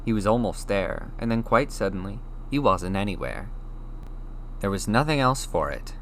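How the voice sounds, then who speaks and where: Expressive low-voiced male story reader. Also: moderate pace, slight background noise, poor audio. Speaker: audiobook reader. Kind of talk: scripted story narration.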